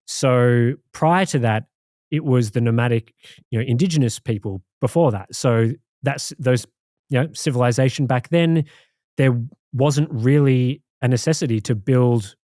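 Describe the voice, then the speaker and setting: An Australian man co-hosting a podcast, recounting things in a rambling, relaxed way with many fillers. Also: medium pitch, no echo, clean studio sound. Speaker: podcast co-host. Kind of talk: casual chat.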